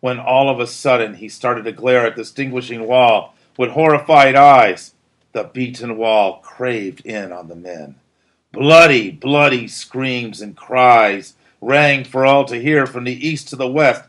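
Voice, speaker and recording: A man's voice: mid-range voice, measured pace, fairly bright tone. A man reading a narrative aloud as a teacher would, in a dramatic, emphatic storytelling style. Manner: theatrical, emphatic delivery.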